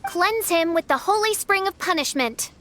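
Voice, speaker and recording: A woman's voice: extremely high pitch, animated, normal speed, a bit bright. An actress playing an imperious young girl with grandiose airs in a video game, haughty and melodramatic. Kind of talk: video game cutscene acting.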